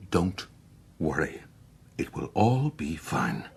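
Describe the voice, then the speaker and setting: An actor playing a boastful, reckless gentleman with a gruff voice, quick to curse, in a period radio drama. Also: a bass voice, sing-song, heavily acted. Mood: dismissive, confident.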